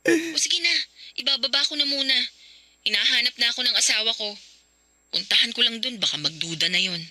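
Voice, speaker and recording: A woman's voice: fairly high pitch, fairly quick, bright, forceful tone, no room sound. An actress playing a hesitant, flustered married woman in a radio drama. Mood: giving in. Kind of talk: Filipino radio drama acting.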